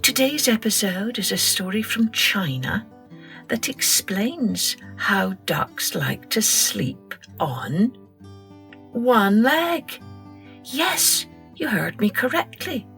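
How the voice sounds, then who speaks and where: Slow, expressive woman storyteller. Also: medium pitch, faint music behind. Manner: expressive, gentle, slow and clear. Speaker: podcast host and storyteller. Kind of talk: storytelling for young children.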